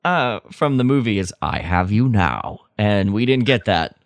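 A man's voice: lowish voice, lively intonation, unhurried, dry recording. A man co-hosting a podcast, casually discussing films and thinking aloud as he gives his opinions. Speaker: podcast co-host. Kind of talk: casual film discussion chat.

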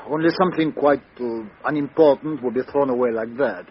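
A man's voice: medium pitch, lightly acted. A man playing a fussy polite foreign detective. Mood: polite probing. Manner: hesitant, fussy with many uh pauses. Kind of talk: 1950s radio drama acting. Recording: dull lo-fi old radio recording.

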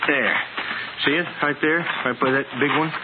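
A man's voice: fairly high pitch, steady tone, moderate pace, phone-quality sound, natural voice. Man playing a folksy, stammering prairie simpleton, crackly recording. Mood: eager. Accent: rural American West. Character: simple, eager, broke prairie settler, male. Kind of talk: 1950s radio western acting. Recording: noisy, clicking old radio recording.

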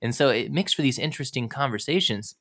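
A man's voice: mid-range voice, animated, fast, a bit bright. A man hosting a conversational history podcast, talking informally and with enthusiasm. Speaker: podcast host.

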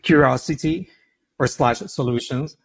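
A man from Argentina with an Argentine Spanish accent, a founder and CEO answering interview questions in an animated way, often repeating words as he thinks. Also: fairly high pitch, a little slow, gravelly, slightly rough.

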